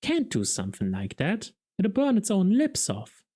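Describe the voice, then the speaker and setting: A man's voice: high-pitched, huge pitch swings, moderate pace, dark tone, pitched above the performer's own voice, heavily acted. A man voicing a jeering chorus of cynical little brain cells, scoffing and sarcastic.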